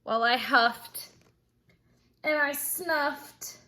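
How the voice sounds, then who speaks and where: A woman reading a children's story aloud in an expressive, theatrical way, with emphatic drawn-out words. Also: high voice.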